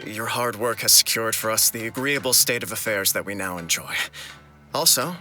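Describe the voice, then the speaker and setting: A man's voice: mid-range voice, bright, forceful tone. An actor playing a courteous, earnest nobleman in a video game, speaking formally and politely. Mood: gracious, formal. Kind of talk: video game cutscene acting.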